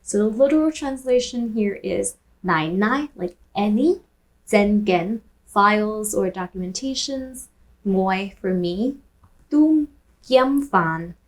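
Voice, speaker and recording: A woman who grew up speaking Taishanese, hosting a language lesson where she repeats phrases slowly and translates them. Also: mid-range voice, lively intonation. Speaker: language teacher and host. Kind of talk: language lesson with film clips.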